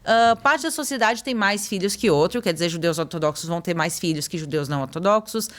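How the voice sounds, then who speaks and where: A woman's voice: fairly low pitch, lively intonation, fast talker. A young woman, explaining things at length as a podcast guest. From Brazil. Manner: explanatory, uses "tu" and "pessoal". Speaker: podcast guest, tour guide. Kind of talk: casual podcast chat.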